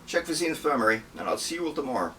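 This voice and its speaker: A man's voice: a little high, very animated, very fast, a bit bright, far from the mic, slight background noise, pitched above the performer's own voice. A man voicing a cocky, flirtatious combat instructor and spy in an audiobook, teasing and self-assured. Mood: upbeat.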